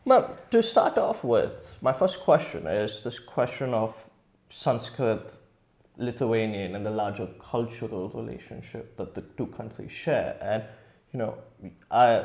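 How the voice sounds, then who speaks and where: A man's voice: mid-range voice, mellow. A man hosting a news interview, giving a formal introduction and asking slightly hesitant questions. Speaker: interviewer, host.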